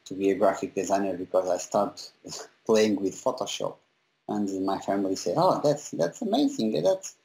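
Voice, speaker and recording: A young man from Portugal with a Portuguese accent, a visual effects compositor being interviewed over a video call, talking haltingly with many pauses and restarts. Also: fairly low pitch, lively intonation, vocal fry, darkish tone, poor audio. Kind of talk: casual interview talk.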